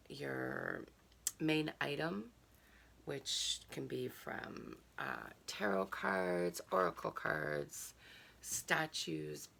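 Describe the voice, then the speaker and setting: A hoarse woman with a gravelly voice, chatting through an unboxing with many fillers and reading a leaflet aloud. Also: low voice, fairly bright tone. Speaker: subscription box reviewer. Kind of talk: casual unboxing chat, reading a card aloud.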